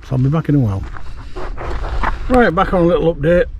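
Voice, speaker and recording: A man's voice: fairly high pitch, expressive, fast talker, highs cut off, a little hiss or hum. A middle-aged man gives a rambling, casual fishing update to camera, outdoors at night. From England. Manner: rambling, chatty, with many fillers. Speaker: fishing vlogger.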